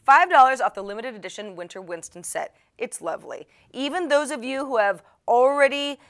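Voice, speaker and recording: A woman's voice: on the high side, animated, fairly quick, fairly bright tone. A woman hosting a show, talking to her audience in a chatty, playful, wry way that is part scripted and part casual. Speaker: host of a tech tips show.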